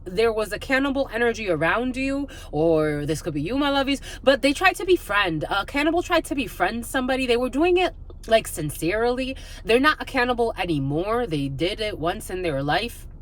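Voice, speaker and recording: A woman hosting an intuitive spiritual reading, talking casually and off the cuff, rambling and repeating her phrases with "like" thrown in often. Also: mid-range voice.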